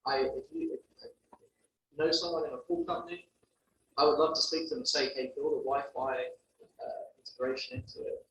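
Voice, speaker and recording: A man's voice: medium pitch, fairly even intonation, brisk, a bit bright. London-accented man presenting, stumbles and restarts; distant, roomy mic. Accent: London English. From London, England. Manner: hesitant, many restarts. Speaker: guest presenter. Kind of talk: answering audience questions at a meeting. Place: meeting room. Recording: echoey room, distant mic, faint hum.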